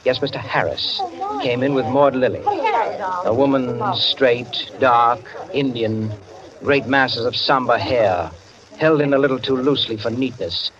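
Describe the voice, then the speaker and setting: An actor playing a wry literary storyteller, narrating an old-time radio mystery in a measured, precise, dramatic way. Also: male voice, medium pitch, natural voice. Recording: dull old radio, music underneath.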